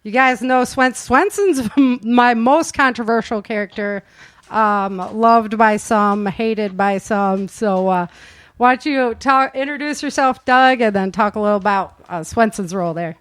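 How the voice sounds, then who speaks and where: A woman's voice: fairly high pitch, unhurried, a bit bright, dry recording. A woman hosting a casual cast interview, chatty and informal, often chuckling as she talks. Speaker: host and producer of an audio drama.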